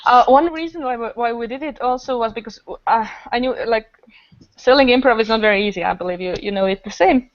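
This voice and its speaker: A woman's voice: a little high, fast, dry recording, muffled recording. A woman chatting earnestly on a podcast, explaining things in a rambling way. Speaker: podcast guest, improv festival organizer. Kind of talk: casual podcast discussion.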